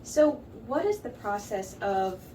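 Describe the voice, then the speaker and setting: A woman's voice: mid-range voice, big pitch swings, takes it slowly, warm tone. A woman hosting a local news show, presenting and asking interview questions. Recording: distant mic, noticeable background noise.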